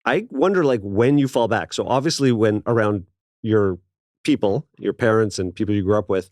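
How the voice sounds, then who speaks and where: Low-voiced easygoing man from Canada. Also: normal speed, darkish tone, no echo, clean studio sound. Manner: easygoing, laughs readily, curious. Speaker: podcast co-host. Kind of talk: casual interview chat.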